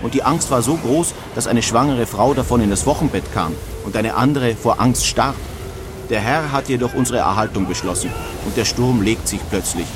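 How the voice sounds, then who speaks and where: A man's voice: medium pitch, moderate pace, background noise, natural voice. An actor playing an earnest nineteenth-century emigrant, reading his letters home in a dramatized style. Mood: grave, earnest. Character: earnest male 19th-century German emigrant writing home.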